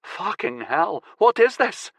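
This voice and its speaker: A man voicing a terrified, furious young man held captive, shouting and swearing in panic. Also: high-pitched, sing-song, slow, vocal fry, no room sound, voice pushed up, full character voice. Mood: panicked, furious.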